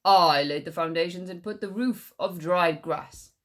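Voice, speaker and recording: A woman voicing a proud, stubborn stag in a storybook tale, with loud, stretched-out words. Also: on the low side, a little slow, close to an everyday voice. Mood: indignant.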